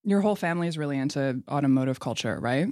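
A woman's voice: a bass voice, expressive, a little mellow, no echo. Chatty woman host, dry close sound. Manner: friendly and chatty, with quick laughs. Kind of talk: podcast interview.

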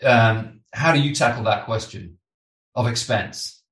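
A man's voice: mid-range voice, unhurried, a bit bright. A British man hosting an interview, casual and chatty with lots of fillers. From Britain. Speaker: podcast host. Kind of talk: conversational interview. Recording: roomy, slightly distant mic.

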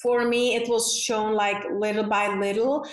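A woman in her forties from the Czech Republic with a Czech accent, a podcast guest talking earnestly and warmly about her life, using many fillers. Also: medium pitch, flat delivery, measured pace, reverberant, poor audio. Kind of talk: casual interview chat.

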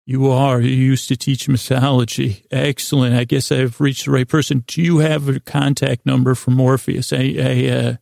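A man with a gravelly, creaky voice, recorded close and dry. He hosts a bedtime show, rambling in a soothing, improvised way. His sentences stumble, restart and wander off into gentle tangents. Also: medium pitch, level tone, moderate pace, hardly any pauses, no echo. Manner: meandering, stammering, with repeated false starts and tangents; lulling, soothing delivery. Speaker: host of a bedtime sleep podcast.

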